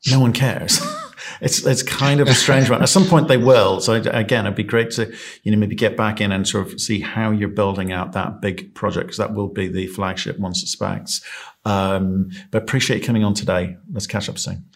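Low-voiced male podcast host. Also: steady tone, normal speed, vocal fry. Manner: chatty, probing questions. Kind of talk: investment interview.

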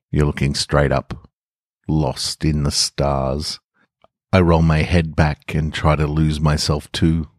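A man hosting a podcast, reading a short story slowly and reflectively and signing off casually. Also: rumbling low, no room sound, clean studio sound. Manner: slow, quiet, reflective reading. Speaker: podcast host and story reader.

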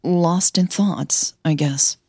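A woman's voice: lowish voice, slow pace, dark tone, breathy edge, no echo, studio quality, heavily acted. A woman playing a guarded, easily wounded woman in love, speaking tensely in an audio drama. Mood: evasive.

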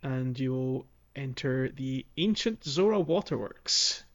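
A man hosting a podcast, talking casually through a game walkthrough in a halting, explanatory way. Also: mid-range voice, expressive, highs cut off. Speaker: podcast host.

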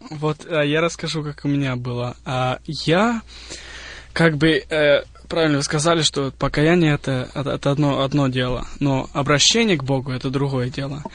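A man in his twenties from Moldova, a student guest giving casual, halting answers in a radio interview. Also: a little high, steady tone, a bit bright, no echo. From Moldova, later United States. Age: 20s. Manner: halting, hesitant delivery. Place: radio studio.

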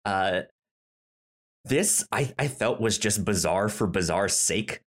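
A man's voice: lowish voice, expressive, takes it slowly. A man co-hosting a podcast, chatting casually about a TV show, often stammering and restarting words. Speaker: podcast co-host. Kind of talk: casual chat reviewing a TV show.

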